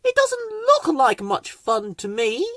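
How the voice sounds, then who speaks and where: A man voicing a haughty, scolding female rose in a children's storybook, pompous and shrill. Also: wildly swooping pitch, takes it slowly, a bit bright, dry recording, clean studio sound, full character voice. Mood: scornful.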